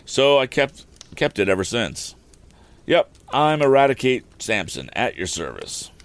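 A man's voice: medium pitch, sing-song, unhurried, clear, resonant tone, dry recording, voice pushed up. A man voicing a rustic older handyman who drives a mule, long-winded and deferential. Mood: folksy, deferential.

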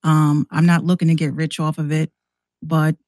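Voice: medium pitch, level tone, moderate pace, darkish tone, no room sound. Casual podcast talker with a close, dry sound. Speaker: podcast guest, radio show host. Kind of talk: casual conversational talk.

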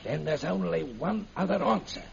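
Gravelly, urgent prisoner in old radio. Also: male voice, a little high, expressive, normal speed. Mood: excited, insistent. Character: imprisoned British man, anxious father, eager conspirator. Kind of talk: 1940s radio serial acting. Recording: muffled, noisy old radio recording.

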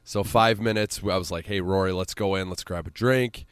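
A man's voice: low voice, normal speed, smooth, no echo. A man with a central Illinois accent, hosting a casual sports podcast with exasperated, sweary rambling.

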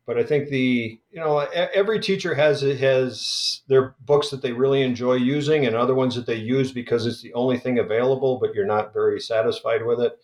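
A man's voice: medium pitch, a little fast, hardly any pauses, darkish tone, reverberant. A man, a history scholar, talking in an interview with many restarts and fillers. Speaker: historian guest. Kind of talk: academic interview.

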